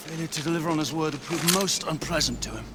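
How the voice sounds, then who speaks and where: Man acting a quick-talking, proper knight. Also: a little high, heavy background noise, lightly acted. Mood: cautious, dry. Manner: formal, understated. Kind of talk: cinematic video game voice acting.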